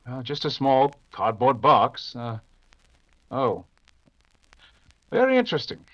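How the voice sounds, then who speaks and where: An actor playing a confident, rational gentleman detective in an old-time radio drama. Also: medium pitch, very animated, slow pace, dry recording, dull audio. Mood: intrigued, composed.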